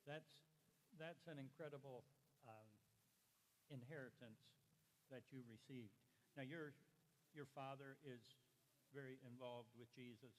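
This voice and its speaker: A man's voice: mid-range voice, fairly even intonation, unhurried, pauses often. Male church interviewer, friendly and curious, reverberant hall, faint hiss. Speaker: host interviewer.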